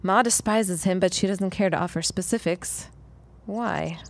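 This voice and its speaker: A woman's voice: mid-range voice, unhurried, creaky. A woman playing a frank, plain-spoken, dryly witty working woman in a period audio drama. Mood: curious, frank.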